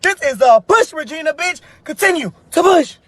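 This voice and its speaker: A brash, sassy American voice delivering a profane, emphatic comedic hype rant, shouting much of it. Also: high-pitched, animated, moderate pace, dry recording.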